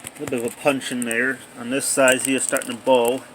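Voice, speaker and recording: Bright-voiced man narrating an unboxing. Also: mid-range voice, flat delivery, fast, a little hiss or hum, poor audio. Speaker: amateur unboxing video maker. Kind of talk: casual off-the-cuff unboxing narration. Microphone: handheld cell phone.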